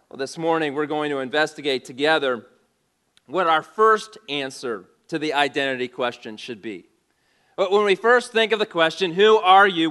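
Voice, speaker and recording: Male pastor, bright and crisp, measured delivery with repeated questions, preaching in a church. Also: fairly high pitch. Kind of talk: sermon.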